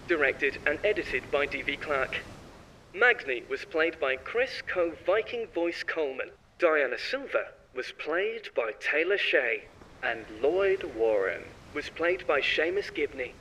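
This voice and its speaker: A man reading a scripted announcement in a formal, measured manner as an announcer. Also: fairly high pitch, animated, pushed and bright, distant mic, slight background noise, rough recording.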